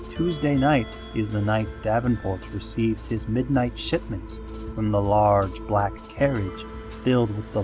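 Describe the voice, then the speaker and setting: A man playing a solemn, eerie pony radio host, reading scripted parody news in a measured, formal deadpan. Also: lowish voice.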